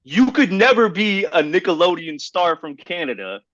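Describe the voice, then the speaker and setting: A man's voice: high voice, big pitch swings, normal speed, a bit bright, no echo, highs cut off. A man hosting a casual hip-hop podcast debate, speaking in an emphatic, slangy way and repeating himself for emphasis. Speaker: podcast host.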